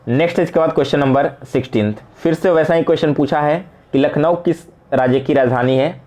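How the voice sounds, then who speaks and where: A man's voice: a little high, a little mellow. A man with an Indian accent teaching exam prep, walking students through quiz questions and answering them with explanations, mixing in English terms.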